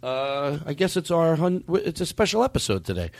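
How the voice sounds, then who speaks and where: A man's voice: on the high side, sing-song, a little fast, darkish tone, no echo. A man hosting a comedy podcast, joking and riffing through bits. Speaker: podcast host. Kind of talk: casual comedy chat.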